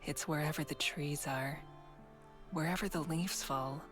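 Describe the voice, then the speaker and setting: A gentle, wistful traveler. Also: female voice, low voice, measured pace, soft background music. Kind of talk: voiced visual novel dialogue.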